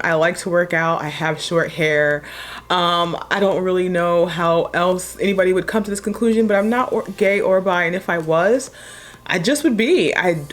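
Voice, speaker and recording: Chill deep-voiced woman vlogger. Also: a bit bright. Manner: low-key and chill, blunt when making a point. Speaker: makeup vlogger. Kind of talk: casual chat while doing a makeup tutorial.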